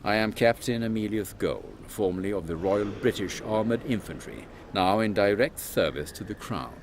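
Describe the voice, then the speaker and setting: Man playing a deep-voiced, animated Victorian captain. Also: normal speed, slight background noise. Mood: formal, courteous. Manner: blustering, gentlemanly, exclamatory. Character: pompous British army captain, secret agent of the Crown. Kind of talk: comic Victorian audio drama acting.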